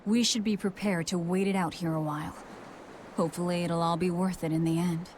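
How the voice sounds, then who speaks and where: A woman's voice: fairly low pitch, fairly even intonation, fairly quick, a little mellow, slight background noise, lightly acted. An actress playing a blunt young woman adventurer in a video game, with a dry, sarcastic delivery. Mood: matter-of-fact. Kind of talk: video game cutscene acting.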